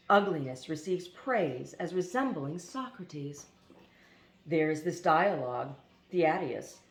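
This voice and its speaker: A woman reading a philosophy essay aloud in a deliberate, emphatic way, now and then stumbling on foreign names. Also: deep voice. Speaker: reader. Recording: echoey room.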